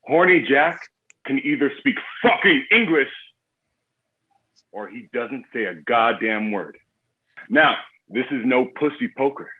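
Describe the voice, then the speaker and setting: A man's voice: mid-range voice, expressive, measured pace, long pauses, husky. A man playing a menacing, foul-mouthed card game boss with a violent temper and a blunt, domineering, taunting delivery in a drama table read. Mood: commanding. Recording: thin phone-like radio-sounding line.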